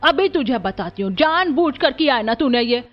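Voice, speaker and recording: A woman's voice: sits high, expressive, moderate pace, dull audio, soft background music. A woman voicing a cruel middle-aged aunt in a family cartoon, bossy and harsh as she scolds. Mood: scolding, threatening. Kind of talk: Hindi animated family story dialogue.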